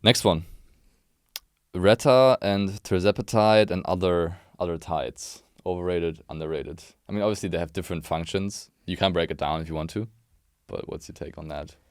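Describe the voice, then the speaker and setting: A man hosts a relaxed podcast chat, tossing out quick, upbeat questions and affirming replies. His voice is very deep and smooth, recorded dry and close with no room sound. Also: no room sound. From Berlin, Germany. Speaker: podcast host. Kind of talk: casual podcast interview.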